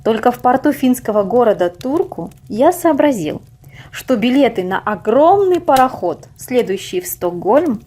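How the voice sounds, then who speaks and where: A woman reading a scripted story aloud as an expressive narrator. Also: a little high, normal speed.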